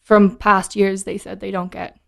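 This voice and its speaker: A woman's voice: mid-range voice, level tone, measured pace, creaky, a bit bright. A woman from Dublin with an Irish accent, chatting easily as a podcast guest and laughing often. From Dublin, Ireland. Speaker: guest, whiskey brand ambassador. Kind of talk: casual interview chat.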